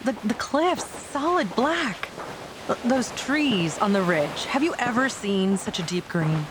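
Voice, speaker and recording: A woman's voice: on the high side, expressive, slow pace. Woman acting as upbeat young female explorer, earnest, audio drama dialogue, noisy ambient bed. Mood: awed.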